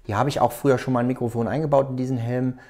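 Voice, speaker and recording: A man with a soft, dark-toned voice talks through a practical task as he does it. He explains each step without a script, in a halting way, as the host of a tutorial. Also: mid-range voice, fairly even intonation. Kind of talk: hands-on tutorial, talking while working.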